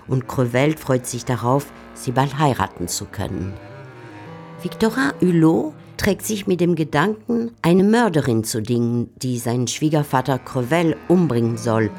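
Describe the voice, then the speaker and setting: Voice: medium pitch, darkish tone. A narrator commenting on a classic novel in a radio drama, wry and rhetorical.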